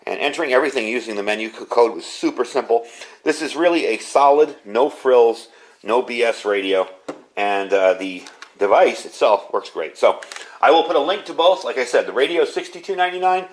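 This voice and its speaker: A man's voice: mid-range voice, lively intonation, a little fast. A middle-aged American man talks as a hobbyist reviewer. His delivery is informal and conversational, with an enthusiastic tone and a mid-range voice. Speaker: product reviewer, amateur radio hobbyist. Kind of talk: casual product review to camera.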